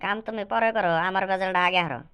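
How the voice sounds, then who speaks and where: Woman playing a fast, cheeky cartoon schoolboy. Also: medium pitch, fairly bright tone, smooth, lightly acted. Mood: pleading, cheeky. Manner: cheeky, argumentative, rhyming comebacks. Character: cheeky, demanding school-age nephew badgering for money. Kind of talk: rhyming comic cartoon dialogue.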